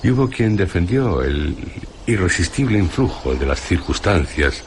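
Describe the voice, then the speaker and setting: An actor playing a grave, reflective narrator of a dark tale, solemn and deliberate. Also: male voice, very deep voice, background noise. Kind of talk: dramatised radio storytelling.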